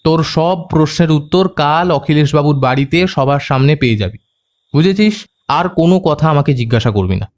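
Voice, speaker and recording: A man's voice: a little high, moderate pace, natural voice. A man playing a confident, secretive young detective in an audio drama, with a knowing, conversational manner. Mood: firm, secretive. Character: confident, secretive young male detective among his friends.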